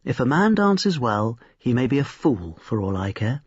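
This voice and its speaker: A man's voice: mid-range voice, sing-song, moderate pace, breathy edge. A man voicing critical, enthusiastic young society women in a storybook reading, in a gossipy, emphatic manner. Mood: assertive. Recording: roomy, slightly dull recording.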